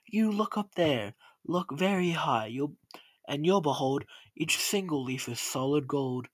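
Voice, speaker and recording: A man voicing a cheeky, naive and excitable young boy with an eager, exclamatory manner in a storybook rhyme. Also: unhurried, husky, no echo. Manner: eager, exclamatory, higher than narration.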